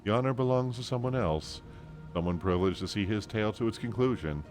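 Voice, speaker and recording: A man's voice: lowish voice, a little fast, a little mellow, faint music behind, pitched above the performer's own voice, natural voice. A man voicing a florid, wistful wandering bard in a fantasy game, with theatrical, archaic phrasing.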